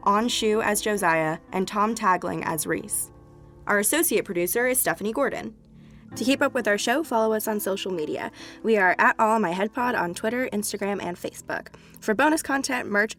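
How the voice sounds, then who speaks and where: Casting: female podcast outro announcer, average pitch, measured scripted delivery over a music bed. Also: a bit bright. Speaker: credits announcer.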